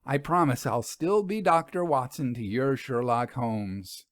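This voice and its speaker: A man's voice: mid-range voice, unhurried, no echo, studio quality, lightly acted. A man voicing a loyal, reassuring young woman, a detective's assistant who explains things patiently in an audio storybook. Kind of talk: audio storybook first-person reading.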